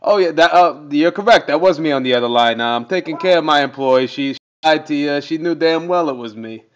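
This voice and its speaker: A man's voice: fairly high pitch, a little fast. Pushy, fumbling man on a muffled phone call. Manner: pushy, fumbling, stalling with fillers. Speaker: prank caller.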